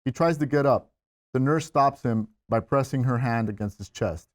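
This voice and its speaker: A man's voice: mid-range voice, normal speed. A man reading scripted stage directions as narrator at a table read.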